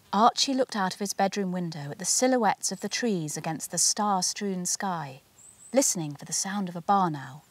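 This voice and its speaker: A woman narrating a children's picture book as its author, in an expressive, measured storytelling manner. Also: lowish voice, dry recording. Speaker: author reading their own picture book.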